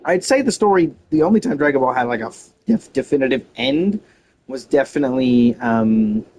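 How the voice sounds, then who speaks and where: A man's voice: mid-range voice, very animated, fairly quick, a little mellow, slight background noise. A man streaming a game with casual, informal commentary, rambling off on tangents. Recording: faint game music behind.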